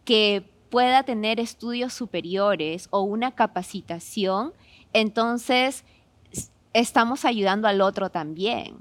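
A woman with a Peruvian accent talks as a guest on a podcast. Her voice sits at a medium pitch, and she explains through personal anecdotes, often checking in with short tag questions. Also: slow pace, no room sound. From Peru. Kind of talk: conversational, anecdotal talk.

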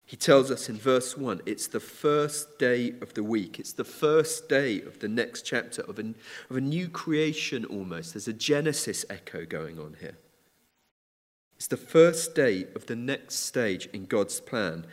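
A man delivers a sermon in a measured, earnest way, now and then restarting a phrase. His voice sits in the middle range for a man. The church around him adds a long, lingering reverb. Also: medium pitch, lively intonation. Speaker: preacher.

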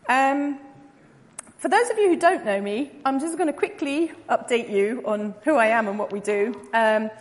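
A woman's voice: on the high side, expressive, normal speed. An English woman in her forties gives an informal talk to a church audience as a missionary speaker, chatty with many ums and light laughing asides. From England. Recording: long reverb of a large hall.

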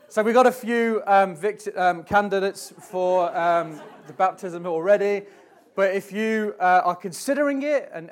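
A man filling in as preacher, giving a church sermon and reading scripture in a hesitant, self-deprecating way with many ums. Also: on the high side. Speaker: stand-in preacher. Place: church platform before a congregation.

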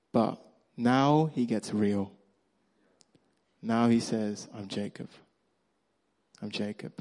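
A man with a Nigerian accent preaching a sermon, acting out the characters' lines and swinging between loud emphasis and quiet, hushed moments. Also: mid-range voice. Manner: animated storyteller, acts out Bible characters' lines.

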